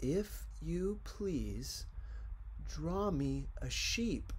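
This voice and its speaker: A small, solemn storybook prince, played by a man, with a high, swooping voice. The little boy is polite yet demanding, and he states each wish with deliberate seriousness. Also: big pitch swings, darkish tone, a little hiss or hum, exaggerated voice. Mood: grave request.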